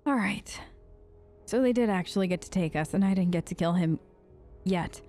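A woman's voice: lowish voice, moderate pace, warm tone, faint music behind. A woman improvising in character for a game let's play, sarcastic and defiant, with casual modern phrasing. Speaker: role-play let's-player.

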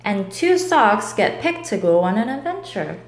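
A woman reading a children's picture book aloud in an expressive, deliberate way, in an echoey room. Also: medium pitch. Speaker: storyteller. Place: indoors at a table, echoey room.

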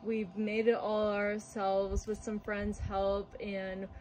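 A young woman giving a casual, relaxed tour of her small wooden house, chatting with fillers and little laughs, filmed on a handheld phone indoors. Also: mid-range voice, slow, slight background noise, poor audio.

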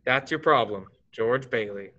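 A man's voice: mid-range voice, unhurried, pushed and bright, lo-fi sound. A teenage boy playing an authoritative, businesslike senior angel in a staged radio play. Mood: calm, instructive.

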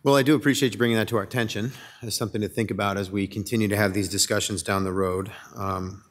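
A man chairing a city council meeting as mayor, polite and brisk with procedural lines, at a desk microphone in a council chamber. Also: on the low side, steady tone.

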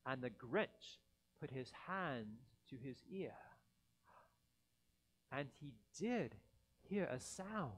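An American man in his thirties reading a children's story aloud theatrically, with deliberate dramatic pauses, into a headset mic. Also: on the high side. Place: indoor church beside a Christmas tree.

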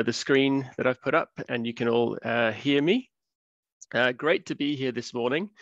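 A man from Wales in his thirties giving a relaxed science talk on a video call, with many fillers. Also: mid-range voice, no echo. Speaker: research fellow giving a public talk. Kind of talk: academic webinar lecture. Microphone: computer mic on video call. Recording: dull, dry webinar audio.

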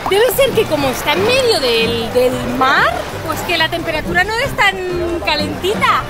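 A woman's voice: very high-pitched, big pitch swings, normal speed. A woman from Spain vlogging outdoors at sea, enthusiastically showing viewers around. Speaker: travel vlogger. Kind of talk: travel vlog narration. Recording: loud music and crowd over outdoor audio.

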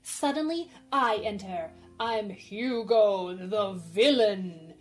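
A woman's voice: medium pitch, slow, lightly acted. A woman acting a bold, theatrical tomboy teenage girl in an amateur radio drama, with eager, exclamatory delivery. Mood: bossy, theatrical.

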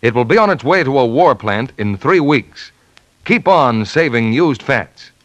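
A man's voice: mid-range voice, lively intonation, a little slow, fairly bright tone, no room sound. A man with an American accent announcing and reading scripted sponsor messages in 1940s radio style. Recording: 1940s radio broadcast recording.

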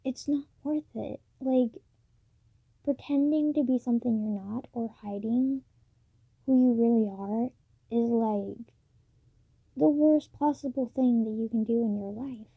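Soft-spoken teen girl, hushed and gravelly. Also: fairly high pitch, no echo. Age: late teens. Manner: soft, hushed, halting with many fillers. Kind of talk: late-night personal vlog. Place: dim bedroom at night. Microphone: phone or camera built-in mic, close. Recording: dull lo-fi built-in mic, dry.

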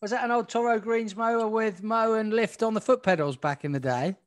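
A man in his thirties hosting a podcast from his home kitchen at a desk mic, opening with brisk, upbeat plugs and then interviewing his guest. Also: high-pitched, a little hiss or hum. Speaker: podcast host. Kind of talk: podcast host introduction and interview. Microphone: desk microphone, webcam framing.

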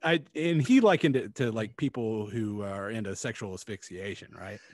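A man's voice: lowish voice, moderate pace, no room sound. A middle-aged man co-hosting a casual book review podcast, blunt and skeptical, swearing as he talks. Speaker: podcast co-host. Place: indoor room, remote video call.

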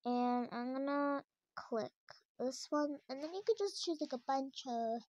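A woman's voice: sits high, a little slow, a little mellow. A young teenage girl giving a rambling, casual tour of her game house while filming a tablet screen, with lots of fillers and restarts.

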